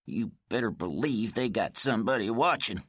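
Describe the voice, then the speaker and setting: Man voicing an old miner, gruff, ranting, folksy, bright edgy tone, dry sound. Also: on the low side, lively intonation, normal speed, no echo, voice pushed up. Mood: wary. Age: older. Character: bitter, paranoid old laid-off male miner. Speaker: story character. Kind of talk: audiobook character voice.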